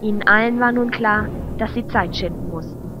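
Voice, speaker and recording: A woman's voice: mid-range voice, moderate pace, highs cut off. A woman reading scripted fantasy narration as the storyteller of an audio drama. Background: clear music.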